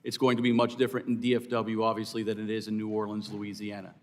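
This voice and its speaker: An American man answering as an expert witness at a hearing, in a formal, deliberate and earnest way. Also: on the low side, monotone. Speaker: expert witness for air traffic controllers. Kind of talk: formal testimony.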